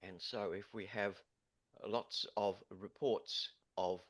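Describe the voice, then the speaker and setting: A very deep-voiced man speaks slowly on a video call. He hesitates often, filling the gaps with "uh" as he gathers his thoughts. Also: fairly even intonation. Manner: hesitant, many uh pauses. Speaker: webinar participant, landcare group member.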